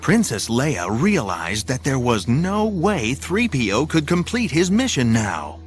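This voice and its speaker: Guy narrating an adventure audio drama, really animated, dramatic read, music going behind him. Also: mid-range voice, a little slow. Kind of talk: dramatic scripted story narration.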